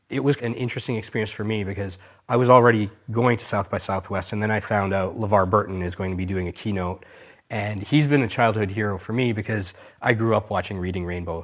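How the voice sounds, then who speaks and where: A man with a fairly low voice hosts an interview. He talks casually and conversationally, like a podcaster chatting with a guest. His manner is enthusiastic and openly admiring. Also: steady tone, a little fast.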